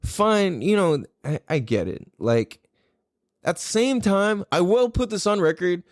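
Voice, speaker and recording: A man's voice: high voice, animated, unhurried, dry recording, studio quality. A man hosting a podcast in a casual, rambling, opinionated way, speaking animatedly and swearing freely. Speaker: podcast host.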